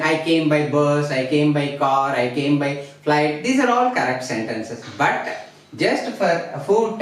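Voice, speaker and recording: A woman from India teaching English grammar at a classroom board, speaking slowly and deliberately, repeating each example sentence with frequent fillers. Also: fairly low pitch. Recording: roomy echo, distant from mic.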